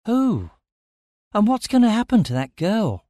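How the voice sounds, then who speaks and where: A man's voice: high-pitched, huge pitch swings, a little slow, mellow, dry recording, clean studio sound, voice pushed up, exaggerated voice. A man voicing the impatient, bewildered hero of a story, firing off blunt, urgent questions. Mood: puzzled.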